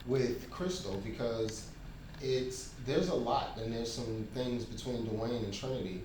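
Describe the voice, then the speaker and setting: A man's voice: medium pitch, a little slow, a little mellow. A man talking casually and reflectively in a group discussion. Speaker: table read participant. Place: echoey room, group table read. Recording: very echoey room, distant mic, noisy.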